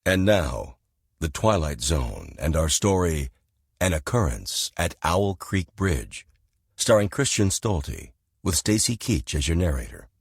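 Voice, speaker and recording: A man's voice: low-pitched, very animated, unhurried, husky, dry recording. A man delivering a dramatic, scripted radio title announcement.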